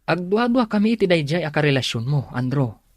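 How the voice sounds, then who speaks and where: A man's voice: on the high side, sing-song, brisk, no room sound, clean studio sound. A man with an Ilocano accent acting as a sly friend who investigates for his pal in a radio drama. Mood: urging. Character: Magno, sly friend investigating a suspected cheater.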